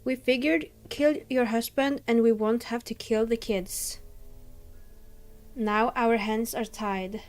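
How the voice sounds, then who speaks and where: A woman's voice: a little high, fairly even intonation, slow, soft background music. A woman hosting a reaction video, casually and expressively commenting on a horror story and reading along.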